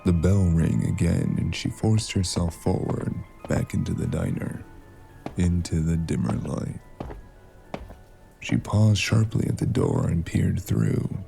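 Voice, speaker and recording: A man narrating a scripted noir story in a brooding, measured, dramatic manner. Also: sits low, vocal fry, mellow, soft background music.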